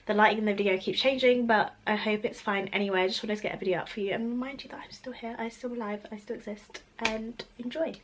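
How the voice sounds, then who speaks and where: A young woman talks her way through a makeup routine at home, loose and rambling, her voice a touch croaky from a cold. Her pitch sits in a middle range, and a faint hum of room noise runs underneath. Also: steady tone, fast talker, few pauses. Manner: chatty and rambling, laughs often. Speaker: beauty vlogger. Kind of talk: casual makeup tutorial chat.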